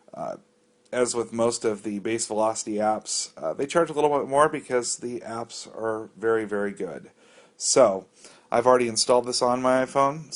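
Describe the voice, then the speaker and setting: An American man narrating a casual, unscripted app walkthrough in a conversational, explanatory and somewhat hesitant way. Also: fairly low pitch. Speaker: app reviewer giving a walkthrough.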